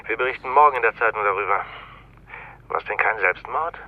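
An actor playing a shrewd, easygoing newspaper journalist on the telephone in a radio drama, teasing and familiar in manner. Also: male voice, lowish voice, brisk, narrow, tinny audio, lightly acted. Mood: wry, familiar. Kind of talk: naturalistic radio drama acting. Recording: voice on telephone, faint noise.

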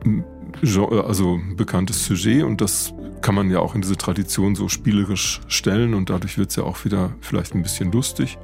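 A man speaking as an expert guest, explaining things in a measured, academic way. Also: low-pitched, steady tone, vocal fry, darkish tone, faint music behind. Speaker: expert guest, literature professor. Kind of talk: explanatory interview talk.

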